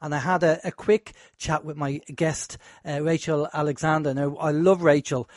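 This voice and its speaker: A man hosting a radio show, chatty and rambling, a bit hoarse from a sore throat. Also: fairly high pitch, fairly even intonation, moderate pace, no room sound, studio quality. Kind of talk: casual radio talk.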